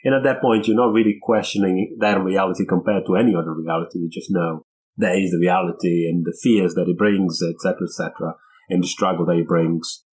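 A man's voice: deep voice, steady tone, brisk, darkish tone, low-quality recording. A middle-aged man from Angola speaking as a podcast guest, telling his life story in a reflective, conversational way.

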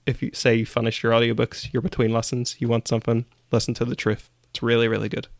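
A man's voice: mid-range voice, completely flat delivery, fast talker, creaky, no room sound, studio quality. A man co-hosting a podcast, giving his opinions and recommendations in casual chat. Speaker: podcast co-host.

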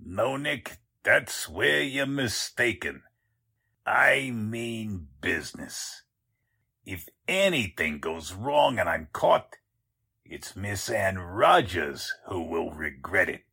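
A gravelly, scheming phony count. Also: male voice, fairly low pitch, very animated, slow pace, pushed and bright, heavily acted. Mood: menacing, determined. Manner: suave affected courtesy switching to gruff bossiness. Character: scheming, pompous male con artist posing as a count. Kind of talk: 1940s radio drama acting.

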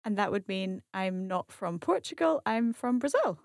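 Scottish woman, curious learner, asks follow-up questions, repeats phrases, medium pitch, dry studio sound. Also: animated, normal speed, no echo. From Scotland. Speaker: language learner co-host. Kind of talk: language lesson dialogue.

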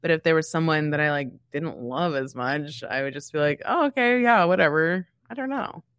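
A woman in her thirties with an American accent and a slightly low voice, recorded dry and close. She chats casually as a podcast co-host and comes across as blunt and wry. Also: animated, moderate pace, a bit bright, no room sound. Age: 30s. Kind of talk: casual chat.

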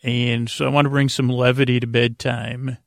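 A man hosting a bedtime sleep podcast, with a creaky voice and a gentle, silly, meandering manner full of hesitations, tangents and pauses. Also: medium pitch, level tone, no echo, clean studio sound. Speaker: podcast host.

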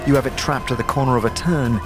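A man's voice: medium pitch, fast talker. A man giving scripted second-person narration for a fantasy audio drama. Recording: music behind the voice.

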